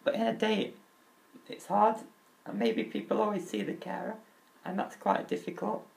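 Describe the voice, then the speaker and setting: A young man with a crackly, high-pitched voice, talking openly and earnestly about himself, with halting restarts. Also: fairly even intonation, vocal fry. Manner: open and earnest, halting restarts, nervous laughs. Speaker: vlogger. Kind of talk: candid personal talk.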